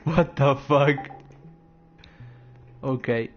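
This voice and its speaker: A man's voice: medium pitch, extremely slow, highs cut off, a little hiss or hum. A man chatting casually in broken English while playing a game, tossing in slang. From Romania. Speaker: gamer streamer. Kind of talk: casual gaming chat.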